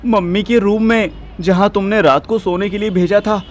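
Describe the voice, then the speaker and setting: A man's voice: high-pitched, fairly even intonation, normal speed, a little hiss or hum, close to an everyday voice. A man playing a hot-headed, jealous, teasing young boyfriend in an audio drama, with an Indian accent and exclamatory delivery that mixes in English. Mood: teasing, hurt. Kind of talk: Hindi audio drama acting. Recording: music behind the voice.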